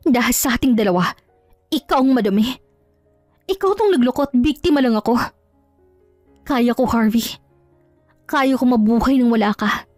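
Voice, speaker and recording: A woman's voice: on the high side, a little mellow, no echo, lightly acted. Woman doing a fierce, defiant wronged wife. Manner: fierce, indignant. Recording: dry, faint music underneath.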